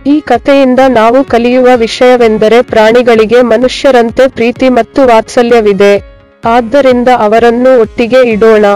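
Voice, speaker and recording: A woman's voice: fairly high pitch, a little mellow. A woman narrating scripted moral tales for children.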